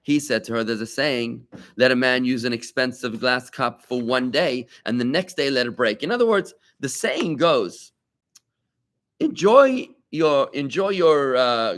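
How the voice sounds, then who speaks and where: A man teaching a live Talmud class as a rabbi, animated and conversational, with rhetorical questions and asides to his students. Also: medium pitch.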